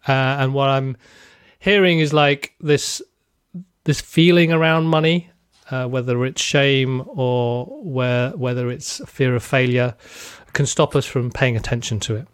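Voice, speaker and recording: A man's voice: medium pitch, steady tone, measured pace, smooth, no echo. Thoughtful male host, dry close sound. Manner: thoughtful, framing questions at length. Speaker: interview host. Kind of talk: hosting a conversational interview.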